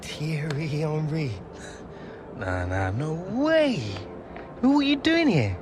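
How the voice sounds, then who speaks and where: A man's voice: a little high, sing-song, takes it slowly, noisy background. An actor playing a young male star footballer with a British accent, cheeky yet unsure, in naturalistic game cutscene dialogue. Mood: cheeky, excited. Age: young adult.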